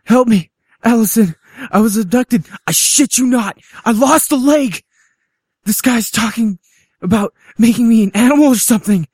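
A man's voice: extremely high pitch, darkish tone, slightly rough, dry recording, studio quality, pitched above the performer's own voice. A man playing a terrified, maimed captive who frantically begs for rescue in a dramatic scene, sobbing and repeating his pleas. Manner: frantic, begging, repeating pleas, breaking into sobs. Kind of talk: dramatic scene read aloud.

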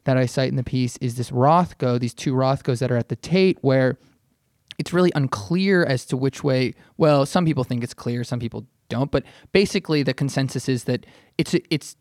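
A man's voice: mid-range voice, moderate pace, a little mellow, no echo, clean studio sound. A man on a podcast explaining things in a casual, conversational way, often qualifying what he says. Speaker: writer on a podcast.

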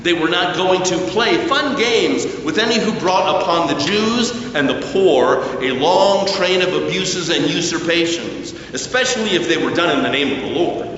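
A man delivering a sermon as a preacher, with deliberate phrasing and emphatic pauses. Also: fairly high pitch, a bit bright. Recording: very echoey hall, distant, slightly dull, faint noise.

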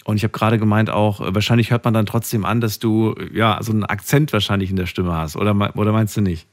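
Male radio call-in host, low-pitched, chatty, quick to laugh with callers. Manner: chatty, laughs often, lively pitch swings. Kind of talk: late-night radio phone-in chat. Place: radio studio.

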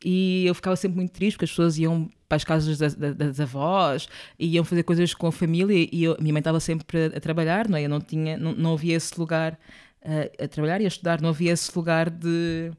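A woman's voice: sits low, fairly even intonation, fast, darkish tone, clear, resonant tone. A young woman from Angola, speaking as an interview guest, thoughtful, often circling back and adding small tag questions. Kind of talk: reflective interview conversation.

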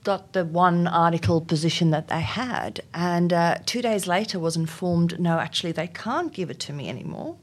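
A woman's voice: on the low side, normal speed, hardly any pauses. A middle-aged South African woman, Afrikaans her first language, giving thoughtful interview answers with frequent ums and restarts. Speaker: interview guest, HR executive.

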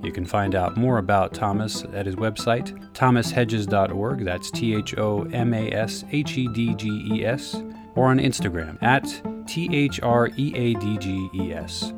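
A man's voice: on the low side, measured pace. A man reading a scripted introduction as the announcer of a readers theatre show. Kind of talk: scripted introduction, read biography and cast list. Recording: loud plucked guitar music under voice. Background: guitar music.

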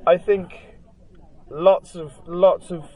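Rambling young Welsh musician. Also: male voice, high-pitched, takes it slowly, rough recording. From Wales. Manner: rambling, many "like" fillers, animated storytelling. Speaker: band member, interviewee. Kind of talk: casual interview chat.

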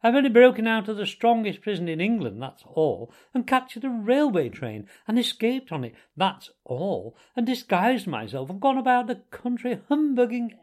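A man voicing a boastful, excitable storybook toad with a theatrical, bragging manner. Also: high voice, moderate pace, few pauses, pitched above the performer's own voice. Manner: bragging, mock-modest, dramatic.

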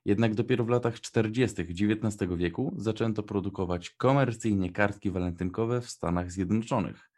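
A man's voice: on the low side, brisk, a little mellow. A young man co-hosting a radio show, reading prepared notes and chatting casually. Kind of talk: scripted narration and casual radio chat.

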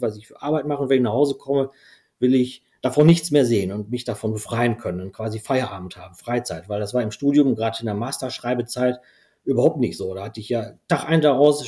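Mellow-voiced man, rambling and relaxed. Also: mid-range voice. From Belgium. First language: Dutch. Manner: relaxed, rambling with many fillers. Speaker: podcast guest. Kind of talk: casual conversation.

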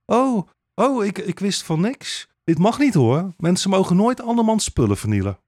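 Man putting on an icy, high-pitched fake-innocent queen. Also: very animated, moderate pace, darkish tone, dry recording, clean studio sound. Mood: feigned innocence. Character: icy hypocritical fairytale queen, feigning innocence.

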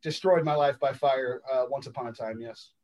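A man from Los Angeles whose first language is sign language, telling his life story as a podcast guest in a reflective, halting way with many ums and uhs. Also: medium pitch, darkish tone. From Los Angeles, United States.

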